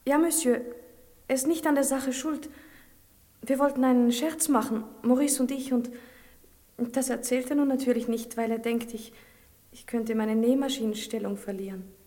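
A woman playing an earnest young woman, mellow. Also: on the high side, steady tone, normal speed, big-room sound, close to an everyday voice. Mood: earnest pleading. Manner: earnest, pleading, soft-spoken. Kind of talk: 1960s radio play acting.

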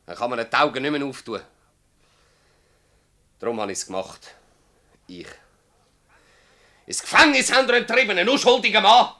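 A man's voice: a little high, moderate pace, long pauses. An actor playing an anguished, accusing village man in a radio drama, intense and brooding, with a Swiss German accent. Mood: grim, brooding, then outraged, accusing. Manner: intense, brooding, swinging between hushed and loud.

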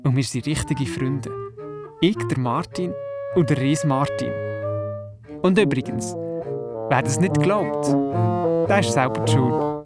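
A man's voice: mid-range voice, a little slow, pauses often, close to an everyday voice. An actor playing a curious, eager young grandson who tells his story earnestly in a children's radio play, with a Swiss German accent. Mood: excited, proud. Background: music.

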